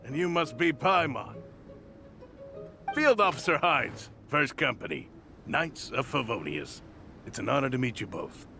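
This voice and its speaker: A man's voice: mid-range voice, very animated, measured pace, pauses often, sharp tone, faint music behind, exaggerated voice. A man voicing a polite, earnest knight officer in a video game, formal and upbeat. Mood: courteous, upbeat.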